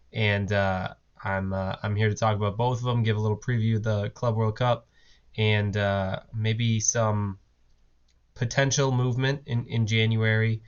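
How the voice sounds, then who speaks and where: A man hosting a casual solo fan podcast, his voice hoarse from a cough, talking in a rambling way with lots of fillers and restarts. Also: on the low side, dull audio. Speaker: podcast host. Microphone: desk mic, on video.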